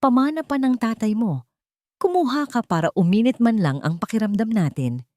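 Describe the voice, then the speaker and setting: A woman's voice: on the high side, big pitch swings, moderate pace, warm tone, dry recording, pitched above the performer's own voice. Woman acting a tentative, caring mother-in-law, Filipino accent.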